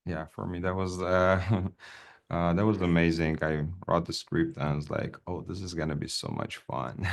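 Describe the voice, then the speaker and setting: A man whose first language is Armenian speaks in a very low voice. He tells stories in an animated way during a casual interview and laughs often. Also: measured pace, creaky. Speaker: actor, interview guest.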